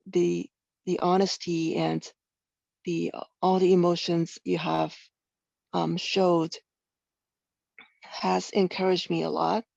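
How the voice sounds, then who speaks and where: A woman's voice: on the low side, gravelly, no echo. Hesitant woman. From Taiwan. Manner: hesitant, many ums, soft-spoken. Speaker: newcomer meeting member. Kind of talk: gentle personal share. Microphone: video call. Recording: dull lo-fi dry call audio.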